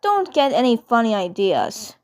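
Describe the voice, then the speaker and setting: A proud, guarded male cartoon alien, voiced by a woman. He mumbles gruffly and reluctantly, taking his time over each phrase. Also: on the high side, big pitch swings, measured pace, a little mellow. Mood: wary.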